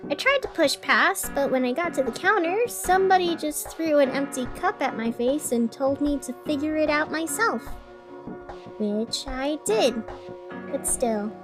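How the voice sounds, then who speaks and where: A woman playing a chatty, weary radio host of a gloomy fictional city, narrating in a conversational, dramatic, wry way. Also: high-pitched. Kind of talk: scripted fiction podcast narration as a radio broadcast.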